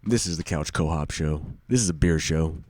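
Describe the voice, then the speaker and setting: A man with a very deep voice hosts a beer show with friends. He jokes and teases, now and then putting on a mock announcer tone. The recording is close and dry. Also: normal speed, darkish tone, no room sound. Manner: jokey, teasing, announcer-style. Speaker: podcast host. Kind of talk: casual chat.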